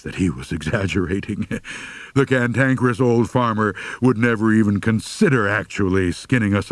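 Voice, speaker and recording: A man's voice: fairly low pitch, no echo. A man narrating a humorous first-person story as an audiobook reader, with a wry, mock-serious delivery.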